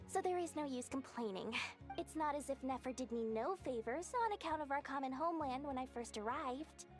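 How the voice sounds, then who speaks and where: A tiny, scheming female shopkeeper from a fantasy game. The voice is extremely high and swoops with lively, melodramatic flourishes, coy and wheedling, over game music. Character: theatrical, wheedling, shrewd small female merchant in a fantasy game. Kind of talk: video game character acting.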